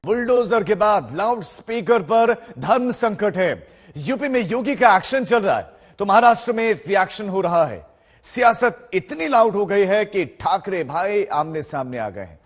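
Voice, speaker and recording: A man's voice: sits high, sing-song, normal speed. A man presenting scripted news as an anchor. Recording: long reverb, crowd noise.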